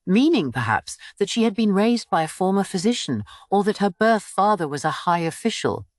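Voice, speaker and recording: A woman reading a novel aloud as an audiobook narrator, in an expressive scripted storytelling style. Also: medium pitch, normal speed, dry recording, clean studio sound.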